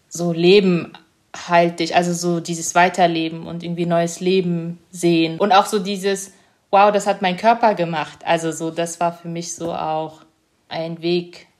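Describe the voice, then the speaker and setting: A young woman in a reflective interview, her voice sitting a little on the low side. She talks hesitantly, circling and searching for the right words, with frequent small fillers. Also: a little mellow. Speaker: author interviewed about her book.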